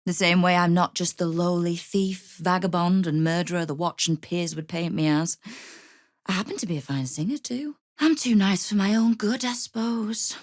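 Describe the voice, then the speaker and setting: Woman voicing a tough lady crime boss in a video game, low voice, wry n shrewd, quietly threatening, super dry sound. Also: fairly even intonation, normal speed, no room sound, lightly acted. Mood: wry, measured. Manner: wry, controlled, menacing understatement. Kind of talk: video game character dialogue.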